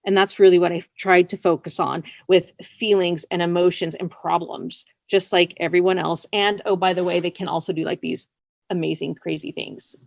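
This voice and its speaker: A woman with a fairly low, gravelly-edged voice talks as a writer in a casual interview. She speaks informally and loosely, circling around her thoughts. The sound is dry, with very little room in it. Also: fairly even intonation, normal speed, no room sound. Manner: informal and rambling, often says "like" and "you know". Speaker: interviewed scriptwriter.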